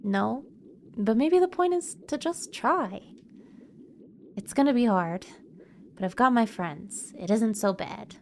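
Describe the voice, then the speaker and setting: A woman voices an earnest young male game hero. The delivery is sincere and unhurried, with a fairly high pitch. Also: expressive, darkish tone, slight background noise. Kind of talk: video game character read-aloud.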